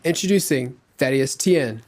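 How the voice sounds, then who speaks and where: A man hosting a podcast who introduces the show and asks the guest questions in a relaxed, conversational way. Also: medium pitch, moderate pace. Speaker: podcast host.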